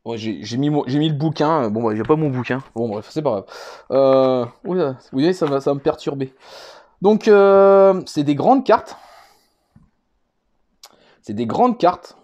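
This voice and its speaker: A man's voice: a little high, animated, measured pace, long pauses, darkish tone, lo-fi sound. A man giving a casual, unscripted show-and-tell as a vlogger, speaking hesitantly and rambling, often repeating himself. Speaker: vlogger presenting a card collection.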